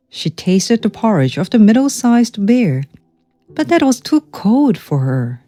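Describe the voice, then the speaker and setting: A woman reads a children's tale as a storyteller. Her voice is mellow and her delivery is slow and measured, with animated pitch movement. Music plays behind the narration.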